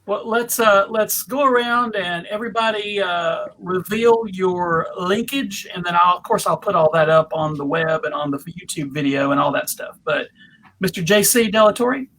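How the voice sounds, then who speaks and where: Male, high voice, bright tone, casual moderator, chatty, video call. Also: moderate pace, slight background noise, low-quality recording. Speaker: panel host and moderator. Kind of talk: casual panel chat.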